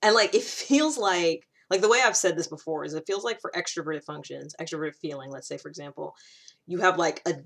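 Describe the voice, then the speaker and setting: A woman with a fairly low voice talks in a casual, explanatory monologue. She works through her ideas aloud in a conversational manner, putting emphasis on key words as she goes. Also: brisk, creaky, a bit bright. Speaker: personality typing vlogger.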